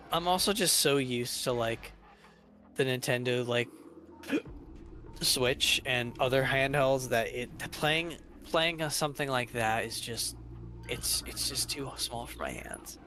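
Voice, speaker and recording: Bright-voiced young man, meandering. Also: mid-range voice, slight background noise. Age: 20s. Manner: halting, meandering, restarts often. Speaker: co-host. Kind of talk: casual chat.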